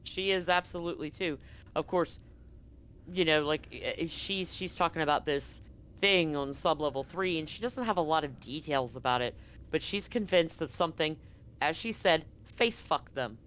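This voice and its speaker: A woman's voice: deep voice, moderate pace, a bit bright. A woman game master improvising narration for a tabletop game, casually swearing, on a live stream with faint noise. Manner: casually profane.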